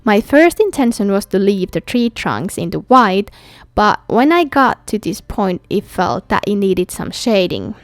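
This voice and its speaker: A woman's voice: mid-range voice, dry recording. A woman from Finland, a native Finnish speaker with a Finnish accent, narrating a scripted craft tutorial as its host. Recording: background music under voice. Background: music.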